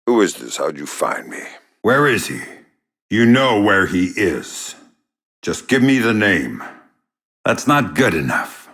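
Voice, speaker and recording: A hardened covert spy from a video game, with a very deep, gruff voice. He speaks tersely, with deadpan sarcasm. The sound is close and dry, with no room on it. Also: takes it slowly, no echo, exaggerated voice. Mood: forceful, threatening. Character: hardened, sardonic male covert spy operative. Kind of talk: video game character dialogue.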